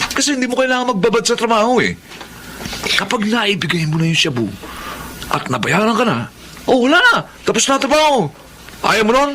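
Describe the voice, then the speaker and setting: A man's voice: sits high, background noise. An actor playing an irresponsible, defensive young working-class father in a Filipino radio drama.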